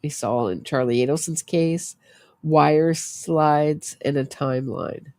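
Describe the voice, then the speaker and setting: A woman's voice: low-pitched, takes it slowly, a little mellow, no room sound. A middle-aged woman who sounds congested, hosting a true crime show in casual livestream commentary, chatty and thinking aloud.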